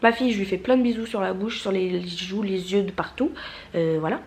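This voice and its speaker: A woman's voice: mid-range voice, fairly quick, darkish tone. A young woman with a stuffy nose from a cold, chatting casually in a vlog, rambling with many fillers and restarts. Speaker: vlogger. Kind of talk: casual vlog chat to camera.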